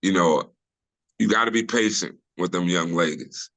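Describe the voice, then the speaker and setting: Deep-voiced comedian man, forceful. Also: moderate pace. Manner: relaxed storyteller, many restarts and "you know". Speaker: comedian guest. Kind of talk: casual interview chat. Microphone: video call.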